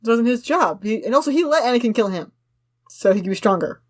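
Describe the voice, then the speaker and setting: A woman's voice: fairly high pitch, animated, fast talker. A woman co-hosting a casual discussion, reading a script aloud and commenting on it in an opinionated, argumentative way. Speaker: podcast co-host.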